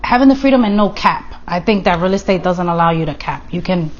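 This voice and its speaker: Relaxed woman, low voice. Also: a little fast, highs cut off. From Philadelphia. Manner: relaxed, brief, laughs easily. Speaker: real estate agent, guest. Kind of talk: casual interview answers.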